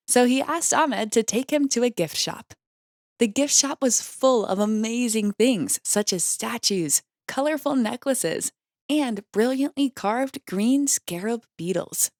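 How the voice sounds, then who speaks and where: A woman's voice: on the high side, darkish tone, no room sound, clean studio sound. A woman narrating a children's storybook as a read-aloud. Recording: clearly noisy background.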